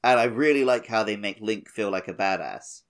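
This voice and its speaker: Male gaming podcast co-host, lower register, conversational and analytical, informal phrasing. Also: moderate pace, fairly bright tone. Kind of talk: casual gaming podcast chat.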